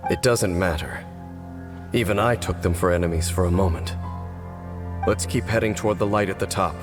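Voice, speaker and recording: An actor playing a solemn, weary, centuries-old cursed warrior in a video game, speaking in a grave, measured, formal way. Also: male voice, low-pitched, music in the background, natural voice. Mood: solemn, composed.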